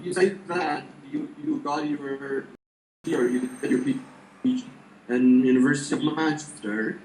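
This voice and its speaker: Homem entrevistador, voz aguda e ecoante. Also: male voice, on the high side, a little mellow, reverberant, slight background noise, rough recording. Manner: halting, searching for words. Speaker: interviewer. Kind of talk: online interview.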